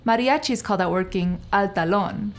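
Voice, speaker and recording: A woman's voice: mid-range voice, normal speed, soft background music. A young adult woman delivering scripted storytelling narration for a documentary.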